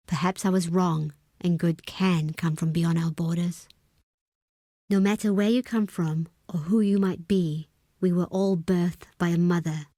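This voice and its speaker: Woman acting a low-voiced, proud desert tribeswoman. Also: a little mellow, no room sound, studio quality, close to an everyday voice. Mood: solemn. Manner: solemn, formal, imperious. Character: proud, stern desert tribe woman, second-in-command, wary of outsiders. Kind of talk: dramatic video game character acting.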